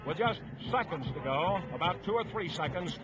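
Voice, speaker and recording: A man's voice: high voice, expressive, normal speed, highs cut off, noisy background. A man calling play-by-play for a 1950s radio football broadcast in clipped, staccato phrases. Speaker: play-by-play sports announcer. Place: stadium broadcast booth.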